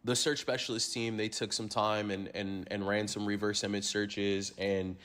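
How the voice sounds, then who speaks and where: Low-voiced conversational male host. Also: flat delivery, normal speed, a bit bright, smooth. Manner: conversational, explanatory. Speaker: show host. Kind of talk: presenting.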